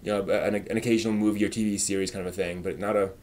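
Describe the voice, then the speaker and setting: A man hosting a casual live stream, chatting and thinking aloud in a halting way, often trailing off as he answers viewers. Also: fairly low pitch, steady tone, a little mellow.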